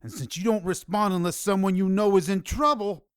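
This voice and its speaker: A man's voice: high-pitched, brisk, clear, resonant tone, no echo, studio quality, natural voice. A man playing an agitated, streetwise underworld informant in an audio drama, urgent and slangy. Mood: tense warning.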